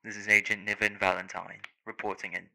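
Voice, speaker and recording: Man acting a deep, flat-voiced secret agent. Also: brisk, vocal fry, noisy background. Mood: businesslike. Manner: formal, clipped reporting. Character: composed, businesslike male secret agent. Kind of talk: audio roleplay acting.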